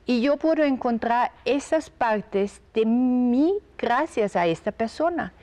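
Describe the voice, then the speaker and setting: A woman with an Austrian accent, a guest on a talk show, reading a reflective passage aloud in a gentle, thoughtful way. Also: on the high side, lively intonation, slow pace. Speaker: guest expert.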